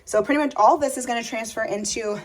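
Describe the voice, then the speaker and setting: A young woman vlogging casually in her home kitchen, chatty and upbeat with a slightly hoarse voice. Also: a little high, fast talker, noisy background. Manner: chatty, upbeat, lots of fillers. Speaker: family vlogger. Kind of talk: casual chat to camera.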